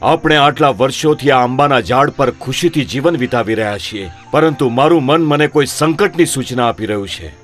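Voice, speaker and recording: A man voicing a commanding, stately animal king in a children's animated story. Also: medium pitch, normal speed, faint music behind, close to an everyday voice. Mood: grave. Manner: stately, authoritative. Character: commanding male animal king, lion or monkey ruler.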